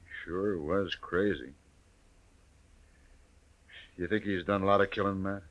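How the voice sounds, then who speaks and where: A man's voice: low voice, unhurried. An American actor playing a plain-spoken, bewildered frontier townsman in a 1950s radio western. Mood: baffled. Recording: muffled old 1950s radio recording.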